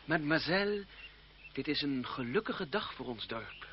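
Actor as high-voiced gallant young seminarian. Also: male voice, lively intonation, moderate pace, natural voice. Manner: courtly, theatrical. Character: gallant, courteous, proud young French seminarian. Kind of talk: vintage Dutch radio play acting. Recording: dull, noisy old radio-play recording.

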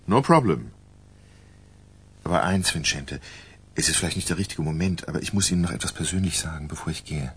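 Very husky, low-voiced good-natured journalist. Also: male voice, expressive, fairly quick, long pauses, a bit bright, heavily acted. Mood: frank, modest. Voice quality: very husky, rough. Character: good-natured, self-deprecating younger male journalist. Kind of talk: German radio drama dialogue.

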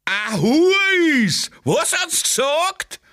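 A rowdy, gullible Viennese from a street mob in a radio play. The cries are high-pitched, piercing and drawn out, jeering with huge swings of tone. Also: male voice, sing-song, slow pace, full character voice. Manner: shouting, jeering.

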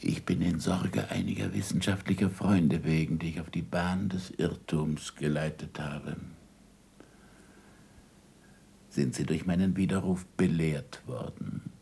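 An older actor playing a weary, disgraced scientist in a German radio play, guarded and bitterly ironic, with a gravelly, often hushed voice. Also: male voice, very deep voice, normal speed, few pauses, heavily acted. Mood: guarded, resigned.

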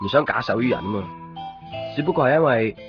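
A man's voice: medium pitch, animated, quick pace, lightly acted. An actor playing an introspective, solitary young man with a Hong Kong Cantonese accent, wry and understated in a radio drama. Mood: wry, musing. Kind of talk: radio drama monologue and dialogue. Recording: dull radio broadcast with music underneath.

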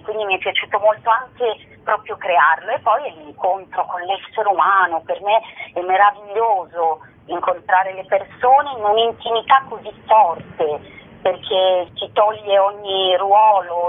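A woman's voice: medium pitch, husky. A woman, a performer talking spontaneously as a radio interview guest. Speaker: stage performer being interviewed. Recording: thin, phone-like line.

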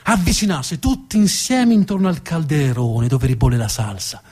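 High-pitched male theatrical storyteller. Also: normal speed, darkish tone. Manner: dramatic, repeats words for emphasis. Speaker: stage actor and narrator.

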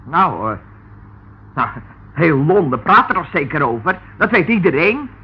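A mouthy scornful woman suspect in an old radio play, husky, pitch jumps around, dull lo-fi sound. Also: very animated, a little slow, heavily acted. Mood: evasive. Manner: brash, scornful. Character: defiant, sharp-tongued woman under police questioning. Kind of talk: radio drama acting. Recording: tinny, band-limited old radio recording.

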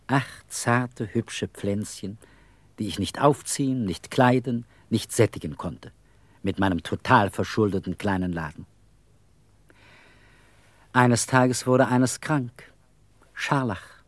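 Casting: eccentric, talkative middle-aged beggar with bourgeois airs, very lively pitch, dry close sound, radio drama. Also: male voice, dry recording. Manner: theatrical, rambling storyteller, wry. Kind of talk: radio play acting.

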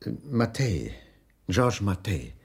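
An actor playing a troubled, evasive husband in a German radio crime drama, speaking hesitantly. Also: male voice, lowish voice, sing-song, takes it slowly, breathy edge, exaggerated voice. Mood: evasive, nervous. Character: troubled, evasive husband, a dining-car waiter. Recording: music under the voice.

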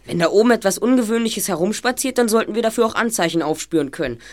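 A woman playing a methodical boy detective who leads his trio in an audio drama, explaining deliberately and decisively. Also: medium pitch, lively intonation, a little mellow, natural voice. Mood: deliberate, reasoning. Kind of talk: amateur audio drama acting.

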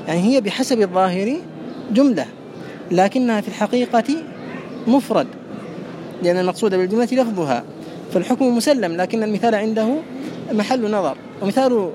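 High-voiced man teaching grammar over traffic noise. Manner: methodical, repeats and parses each phrase. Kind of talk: classical grammar lesson.

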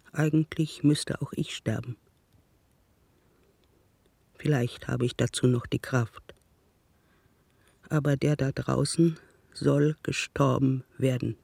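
An actor playing a bitter, haunted old man in a radio drama monologue, husky, creaky and brooding. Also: mid-range voice, warm tone, dry recording, full character voice.

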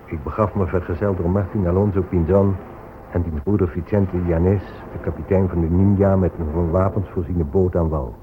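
An actor playing a proud, pious explorer admiral giving a stately, formal report in a radio drama. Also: male voice, deep voice, fairly even intonation, normal speed, natural voice. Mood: matter-of-fact. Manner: stately, declamatory. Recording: phone-like old radio recording, faint noise.

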